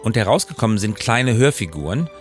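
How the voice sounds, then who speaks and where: A man's voice: fairly low pitch, big pitch swings, a little fast, soft background music. A middle-aged man chatting casually as the co-host of a podcast. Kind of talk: casual conversational podcast talk.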